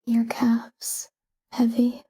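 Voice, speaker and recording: A woman guiding a sleep meditation in a calm, hushed, breathy whisper, soothing and soft. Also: on the high side, extremely slow.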